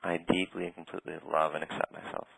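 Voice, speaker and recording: A man guiding a tapping session in a calm, steady way, naming tapping points and saying short phrases for the other person to repeat. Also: low-pitched, vocal fry, slight background noise, rough recording. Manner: calm, slow, leads phrases for repetition. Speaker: tapping practitioner.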